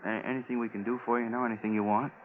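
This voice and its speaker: An actor playing an anxious, solicitous Navy shipmate in a 1950s radio drama. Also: male voice, mid-range voice, flat delivery, very fast, lightly acted. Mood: concerned. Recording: tinny, hissy 1950s radio recording.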